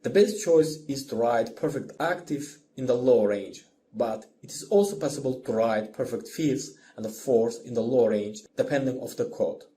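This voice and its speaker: A man who is a non-native English speaker narrates a scripted music lesson as the instructor, in a deliberate, explanatory manner. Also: medium pitch, warm tone, slight background noise. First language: not English.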